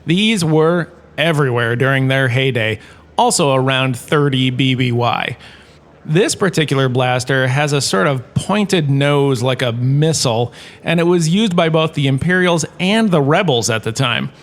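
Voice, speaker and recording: A man's voice: medium pitch, expressive, a little slow, natural voice. A man playing an enthusiastic, quirky lecturer, a washed-up star, in a scripted comedic audio drama, chatty and full of showy asides. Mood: enthusiastic, informative.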